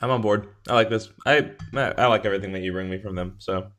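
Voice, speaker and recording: A man's voice: lowish voice, fairly even intonation, fast talker, a bit bright. A man co-hosting a podcast, chatting casually in a playful, rambling way. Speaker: podcast co-host. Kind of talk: casual chat.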